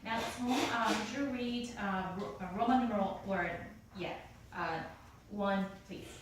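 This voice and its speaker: A woman's voice: mid-range voice, measured pace, far from the mic, background noise. A woman teaching a class, encouraging and hesitant, with many fillers. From United States, East Coast. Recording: echoey classroom recorded from afar.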